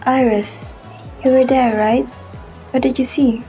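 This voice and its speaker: A woman plays an indignant young fairy in a fan roleplay drama. Her voice is on the high side and emphatic, with music playing behind it. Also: fairly even intonation, unhurried, mellow. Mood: concerned. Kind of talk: amateur voiced roleplay acting.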